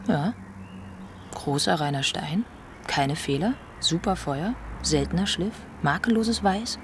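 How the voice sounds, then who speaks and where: A woman's voice: fairly low pitch, animated, takes it slowly, background noise. An actress playing a nervous, conflicted woman confiding in her partner, hesitant and defensive, in a naturalistic radio drama.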